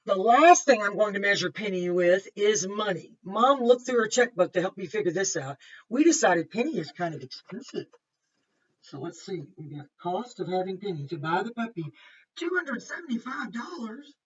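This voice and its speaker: A woman's voice: medium pitch, expressive, normal speed, slightly rough. A woman kindergarten teacher reading a picture book aloud to young children, with simple explanations and chatty asides. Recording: muffled, distant microphone.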